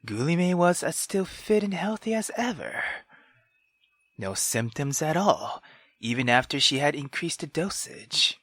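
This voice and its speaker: Guy doing a visual novel let's play, slow pace, really swoopy pitch, dry close sound. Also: dry recording. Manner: expressive, informal, swearing. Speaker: let's player. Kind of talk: reading a visual novel aloud with reactions.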